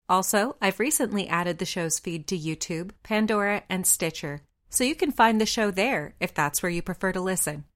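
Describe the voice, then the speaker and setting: A woman hosting her own podcast, reading a scripted segment in an enthusiastic, lightly humorous storytelling manner. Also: mid-range voice. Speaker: podcast host.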